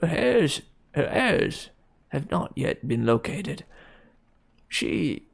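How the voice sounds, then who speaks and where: A man's voice: fairly high pitch, very animated, extremely slow, gravelly, voice pushed up, full character voice. Man voicing a stammering old antique dealer. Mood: nervous. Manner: stammering, pleading, fretful. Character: nervous elderly hunchbacked male antique dealer.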